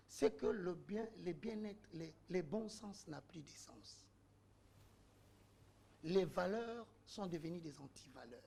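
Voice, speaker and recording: A man's voice: high-pitched, creaky, slightly rough. A man, arguing his point as a guest in a heated panel debate, oratorical and full of rhetorical questions.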